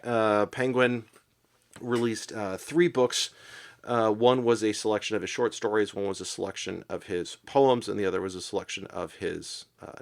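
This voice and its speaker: A man with a lowish voice presents a podcast about writing. He talks casually and without a script. His delivery is thoughtful and halting, often searching for the right word. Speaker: podcast presenter and poetry reader. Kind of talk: casual literary commentary, unscripted.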